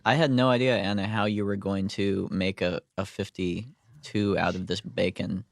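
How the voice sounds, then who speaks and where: Male director on a commentary track, low creaky voice, casual banter, very dry close sound. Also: steady tone, moderate pace, no room sound. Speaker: TV episode director on a cast commentary.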